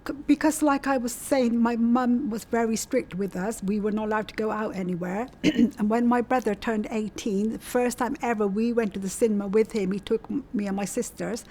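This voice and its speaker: A woman's voice: fairly high pitch, brisk, few pauses, dark tone, a little hiss or hum. A woman, chatting and reminiscing about her own life in an interview, often repeating points for emphasis. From South West England. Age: 60s. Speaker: community storytelling interviewee. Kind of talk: casual personal reminiscence in an interview.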